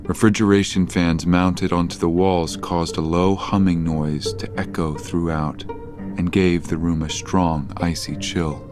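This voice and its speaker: A man's voice: deep voice, little pitch movement, unhurried, music in the background. A man reading scripted narration for an audio drama.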